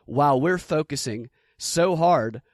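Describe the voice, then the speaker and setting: A man's voice: fairly high pitch, lively intonation, a little slow, no room sound, studio quality. Man telling a story as podcast host. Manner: storytelling, acts out quotes. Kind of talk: casual political commentary.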